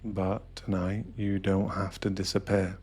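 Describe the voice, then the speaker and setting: Low-voiced man guiding a meditation over music. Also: flat delivery, measured pace, darkish tone, husky. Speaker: meditation guide. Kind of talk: ASMR guided meditation, scripted narration.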